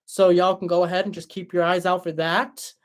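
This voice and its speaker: A young man hosting a livestream and answering chat in a relaxed, chatty way, his voice tired after hours of talking. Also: high-pitched, fairly quick. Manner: relaxed, chatty, many fillers and restarts. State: voice tired after a long stream. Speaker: livestream host reading chat.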